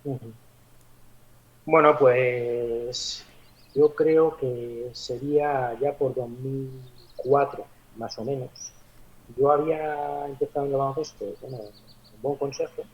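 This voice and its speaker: A man's voice: mid-range voice, steady tone, slow pace, highs cut off. A man in his forties from Madrid, a basketball coach answering interview questions thoughtfully, with many hesitations and restarts. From Madrid, Spain. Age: 40s. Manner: reflective, frequent hesitations and restarts.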